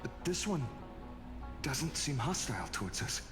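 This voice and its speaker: A man's voice: medium pitch, sing-song, a little slow. A man playing a vigilant young warrior companion in a video game, earnest and serious. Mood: guarded, alert. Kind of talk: scripted video game dialogue. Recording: game music behind the voice.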